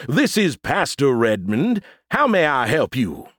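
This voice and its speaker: Man putting on a slow, smarmy fake-Southern pastor. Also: huge pitch swings, fairly bright tone, dry recording, studio quality, heavily acted. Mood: smarmy courtesy. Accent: exaggerated American Southern. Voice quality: put-on deepened voice. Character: prankster man posing as lecherous drunken Southern pastor.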